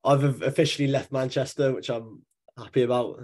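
A man with a slightly croaky, husky voice, chatting casually as a podcast co-host. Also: mid-range voice, a little fast, a little mellow.

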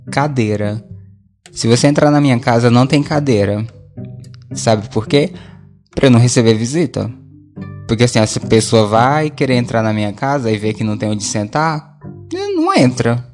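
A man's voice: mid-range voice, darkish tone. A Brazilian man home alone, talking into his solo audio diary with music playing behind him, wandering and correcting himself like a late-night podcast confession. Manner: rambling, conversational, self-correcting. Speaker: podcast host.

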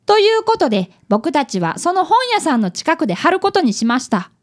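A woman's voice: high voice, big pitch swings, brisk. A woman playing an earnest, polite boy of about eleven, an apprentice detective in a radio drama. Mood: narrating. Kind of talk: radio drama acting and narration.

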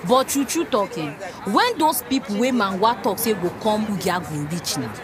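A woman's voice: medium pitch, animated, moderate pace, lightly acted. A woman playing an outspoken, devout market woman in a Pidgin radio drama, with a northern Nigerian accent and an emphatic, exclaiming delivery. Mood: indignant. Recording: busy crowd and music behind.

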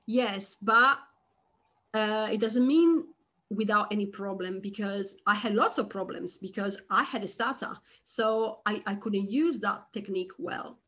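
A woman guest on a podcast, speaking slowly and hesitantly, with frequent restarts and repeated words. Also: medium pitch, fairly bright tone. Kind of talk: conversational interview.

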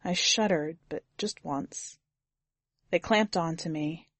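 Woman reading a short story, lowish voice, grave n measured, really dry recording. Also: a bit bright, no room sound. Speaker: podcast editor and story narrator. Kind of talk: scripted first-person fiction narration.

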